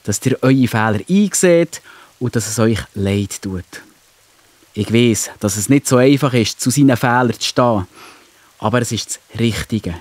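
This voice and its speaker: A man playing a gentle, patient father in a children's audio play. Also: mid-range voice, expressive, normal speed, dry recording, close to an everyday voice. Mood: gentle, serious. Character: gentle, fair-minded father of two children.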